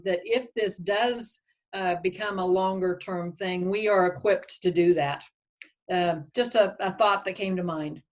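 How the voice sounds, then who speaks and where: A woman speaking conversationally on a conference call as a county official, thinking aloud as she gives advice. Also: mid-range voice. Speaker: county official advising on expense reimbursement.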